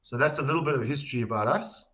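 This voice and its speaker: A man's voice: mid-range voice, rapid-fire, fairly bright tone, slightly rough. A middle-aged man with a South African accent, answering questions in a conversational way as a podcast guest. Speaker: podcast guest, marketing executive.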